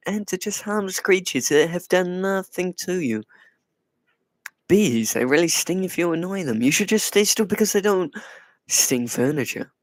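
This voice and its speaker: A man hosting a casual livestream, playfully teasing and answering his chat in a soft, put-on voice. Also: high voice, dry recording. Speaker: livestream host. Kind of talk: casual live chat with viewers.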